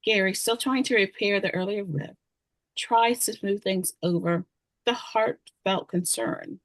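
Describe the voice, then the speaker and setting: A woman's voice: medium pitch, measured pace, dry recording. A woman reading stage directions as scripted narration at a screenplay table read.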